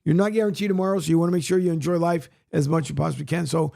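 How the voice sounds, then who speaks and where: A man hosting a sports radio show, rambling casually with many fillers, his voice raspy from a long day. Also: on the high side, fairly even intonation, racing, darkish tone. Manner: rambling, many fillers, plugs and reads news aloud. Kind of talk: casual solo sports talk, filling time.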